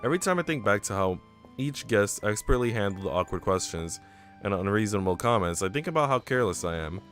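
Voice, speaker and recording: An earnest, self-doubting young woman tells her own visual novel story in a man's fairly low voice. The reading is reflective and storybook-like, with faint music underneath. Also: lively intonation, fast, a bit bright. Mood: self-critical, uneasy. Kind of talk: visual novel narration read aloud. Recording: music behind the voice.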